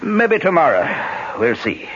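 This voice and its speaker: Muffled 1970s radio play, Irish innkeeper, husky, shrewd, bossy, folksy lilt. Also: male voice, fairly high pitch, huge pitch swings, measured pace, fairly bright tone, heavily acted. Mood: gruff, dismissive. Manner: brusque, lilting folksy phrasing. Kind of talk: 1970s radio drama acting.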